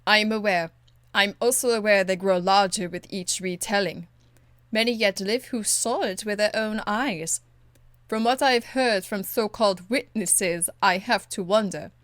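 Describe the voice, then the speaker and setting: A woman's voice: medium pitch, a little slow, a bit bright, dry recording, close to an everyday voice. A woman voicing a blunt, stern and dutiful warrior in a video game, speaking in a curt, earnest, formal way. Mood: dry, blunt. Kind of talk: video game dialogue acting.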